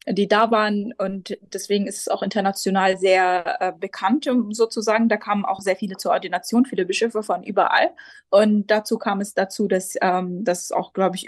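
A woman in her twenties from Jerusalem, talking as a pastor in an interview. She hesitates often and restarts her sentences while she looks for the right words. The sound is dry, with no room echo, and her voice sits at an average pitch. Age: 20s. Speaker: Lutheran pastor, guest. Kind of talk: casual interview answers.

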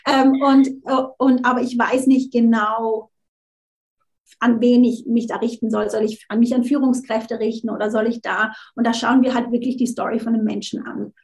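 Give me a woman guest on an interview show, talking casually with a fairly high voice and frequent restarts. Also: little pitch movement, moderate pace, creaky, darkish tone, poor audio. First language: not German, unsure of her German. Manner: chatty, restarts words, mixes in English phrases.

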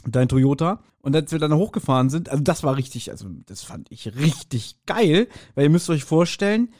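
Higher-voiced man in joking podcast chat. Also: normal speed. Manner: joking, teasing, animated storytelling. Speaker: podcast co-host.

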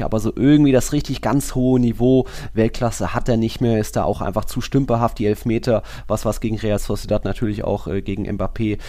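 A man's voice: mid-range voice, darkish tone. A man co-hosting a football podcast, analysing informally and thinking aloud in casual talk.

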